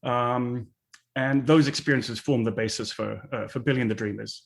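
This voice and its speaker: A man raised in Australia and England, a musical's co-creator, answering interview questions in a reflective, halting way. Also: mid-range voice, steady tone, gravelly. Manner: reflective, halting, with many fillers.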